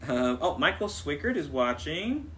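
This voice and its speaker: A woman's voice: low-pitched, sing-song, a little slow, fairly bright tone, a little hiss or hum, poor audio. A woman co-hosting a casual improvised chat and reading out viewer questions.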